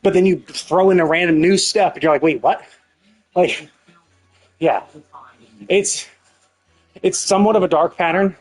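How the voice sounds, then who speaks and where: A man's voice: high-pitched, moderate pace, long pauses, faint music behind. A man livestreaming a game with casual commentary, explaining his opinions in a rambling, repetitive, mildly exasperated way. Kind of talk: casual live stream commentary.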